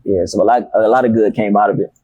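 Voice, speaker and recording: A man's voice: on the low side, steady tone, quick pace, warm tone, husky, dry recording, muffled recording. A young man, a rapper telling stories in a casual interview, laid-back and slangy. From Miami, Florida. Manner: laid-back, slangy, loose storytelling with profanity. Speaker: rapper guest.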